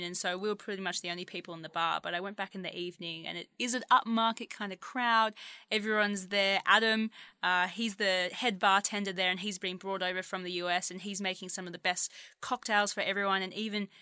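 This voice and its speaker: A woman's voice: mid-range voice, fairly even intonation, a little fast, sharp tone, clean tone, no echo, studio quality. A woman co-hosting a show, narrating in a chatty, enthusiastic way. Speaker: podcast co-host. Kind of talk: scripted narration.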